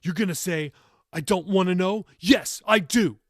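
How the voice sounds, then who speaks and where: Man acting a loud, defiant teenage boy. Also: high voice, moderate pace, no room sound, studio quality, pitched above the performer's own voice. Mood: angry, demanding. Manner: loud, demanding, interrupting. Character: defiant, angry teenage boy, callous and impatient. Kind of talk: audiobook character dialogue.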